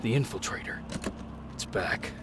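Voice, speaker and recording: A man's voice: measured pace, very noisy, natural voice. A man playing a grim, wary resistance soldier in a dramatic video game. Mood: hushed, grim. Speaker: soldier protagonist and narrator. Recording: loud music under the voice.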